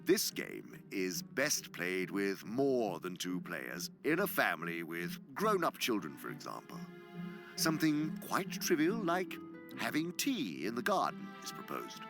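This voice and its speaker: A man's voice: low-pitched, huge pitch swings, measured pace, vocal fry, over music, heavily acted. A man playing a sly, gloating senior demon who lectures pompously for a radio drama, savoring his words and sometimes dropping to a whisper. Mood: smug relish. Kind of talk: theatrical radio drama monologue.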